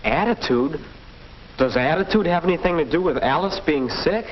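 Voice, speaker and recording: Man acting an earnest, higher-voiced American teenage boy. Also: expressive, moderate pace, close to an everyday voice. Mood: puzzled, curious. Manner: eager, questioning, hesitant. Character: earnest, curious teenage boy, healthy and naive. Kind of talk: 1940s instructional film acting. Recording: muffled, hissy 1940s film soundtrack.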